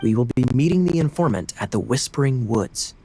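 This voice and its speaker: An actor playing a sly young knight captain in a video game, speaking in a calm, measured and faintly teasing way. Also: male voice, mid-range voice, soft background music, natural voice. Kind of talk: game voice acting.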